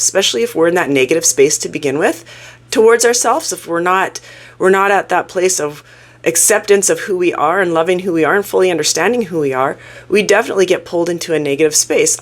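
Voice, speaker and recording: A woman hosting her own channel, sounding croaky from a cold as she talks through a topic in a casual, earnest, self-reflective way. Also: on the low side. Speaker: YouTube channel host. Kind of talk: casual talking-head explainer.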